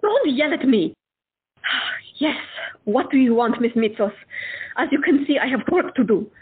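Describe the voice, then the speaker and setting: Husky, high, lively exasperated doctor lady, phone-thin sound woman plays. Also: a little slow, full character voice. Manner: exasperated, curt. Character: weary, short-tempered young woman doctor. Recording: lo-fi, phone-like, with music.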